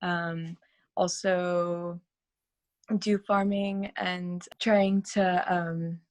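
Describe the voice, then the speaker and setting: Eager woman, lowish voice, lots of fillers. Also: flat delivery, drawn-out words. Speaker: textile artist and dancer. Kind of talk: casual video call chat. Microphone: video call.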